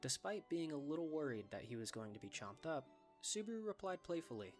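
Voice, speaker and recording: A man's voice: on the high side, big pitch swings, fairly quick, soft background music. A man reading scripted story narration as the narrator of a fan dub.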